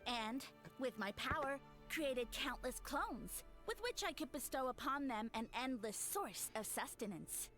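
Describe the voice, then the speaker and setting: A woman's voice: high-pitched, expressive, a little slow, hardly any pauses, a bit bright. A woman voicing a haughty, self-important mushroom creature who boasts like a savior in a video game. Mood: grandiose. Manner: pompous, grandiose, theatrical. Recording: game music behind.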